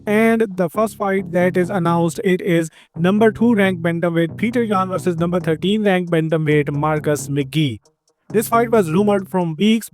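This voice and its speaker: High-pitched Indian-accented man reading fight news. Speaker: host of an MMA news channel. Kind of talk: scripted news narration. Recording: background music under the voice. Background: music.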